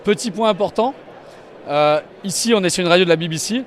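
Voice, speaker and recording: A man with a fairly high voice walks through a product demonstration at a trade show. He explains each feature with enthusiasm, speaking as a representative of the company behind it. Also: a little fast, slight background noise. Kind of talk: live walk-through product demonstration to camera. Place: trade show stand and inside a car.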